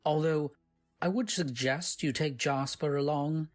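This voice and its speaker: Woman acting a very low-voiced, humble servant. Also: a little slow, a little mellow, faint music behind. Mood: kindly. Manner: deferential, polite, old-fashioned. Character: humble, courteous manor servant, eager to please. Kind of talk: old-time radio drama acting.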